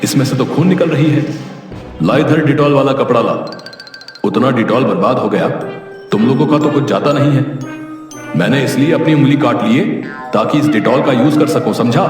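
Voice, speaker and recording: A man's voice: mid-range voice, normal speed, pauses often, close to an everyday voice. A man voicing a bossy, scolding, miserly middle-aged rich merchant in a Hindi cartoon. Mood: fussy. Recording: very echoey, distant, faint music behind.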